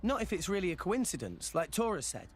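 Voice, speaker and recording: A man's voice: high voice, big pitch swings, brisk, slight background noise. A man playing an earnest, plucky teenage boy adventurer in a video game, eager and informal.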